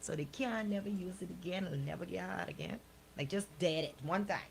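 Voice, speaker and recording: A low-voiced woman gives casual radio commentary. She is blunt and exclamatory, with colloquial phrasing and a gravelly creak. Faint noise sits behind her. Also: a little fast. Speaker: radio show host. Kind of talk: casual studio banter and news commentary.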